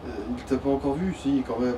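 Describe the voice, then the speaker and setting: A man's voice: mid-range voice, fast. A man chatting casually over a video game as a let's player, with crude, slangy joking. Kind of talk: casual gaming chat. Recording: distant mic with clear noise.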